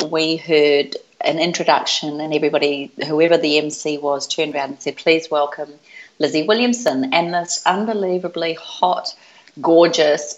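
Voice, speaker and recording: A woman with a low voice tells stories warmly and with gushing praise. The sound is a bit dull and lo-fi. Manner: warm, effusive storytelling. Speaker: podcast co-host. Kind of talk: casual chat.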